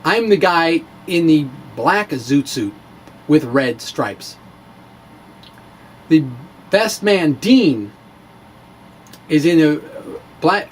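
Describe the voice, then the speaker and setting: A man giving a theatrical, emphatic dramatic reading of a science blog, with deliberate pauses. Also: fairly high pitch, slight background noise. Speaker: science blogger and presenter.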